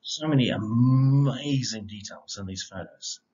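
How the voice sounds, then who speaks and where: A middle-aged man reading a rhyming children's picture book aloud in an expressive, measured storytelling manner. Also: medium pitch, husky. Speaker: storybook reader, educator. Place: indoor room. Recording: slightly dull, lo-fi webcam sound.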